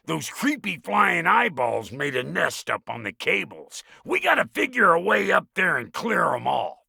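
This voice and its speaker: Game casting: gruff dwarf smith, very gravelly and piercingly sharp, folksy, impatient, irreverent. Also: male voice, mid-range voice, dry recording, studio quality, exaggerated voice. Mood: gruff, grumbling. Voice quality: gravelly, raspy. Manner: folksy, irreverent, colloquial grammar. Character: gruff, foul-mouthed, impatient dwarf blacksmith, a man. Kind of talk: video game character dialogue.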